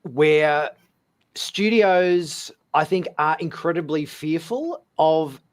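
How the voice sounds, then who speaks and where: A man with a voice a little higher than most hosts a casual panel chat. He feels his way through sentences hesitantly, repeating words and phrases as he builds to his questions and points. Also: expressive, slow. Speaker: host of a fan talk show.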